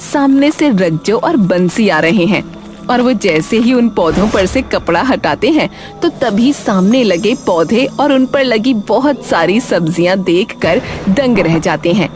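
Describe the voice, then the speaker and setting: Animated woman narrating a cartoon story. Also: mid-range voice, a little slow, faint music behind.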